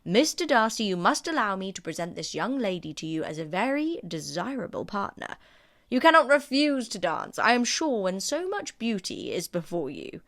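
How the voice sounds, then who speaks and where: A woman voicing a gallant, garrulous older gentleman in an audiobook, courtly and effusively flattering. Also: medium pitch, moderate pace. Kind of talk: audiobook character acting.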